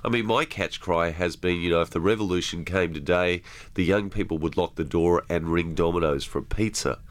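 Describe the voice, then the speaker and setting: A man's voice: low voice, brisk. A man hosting a radio interview in a jokey, effusive way. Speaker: radio host.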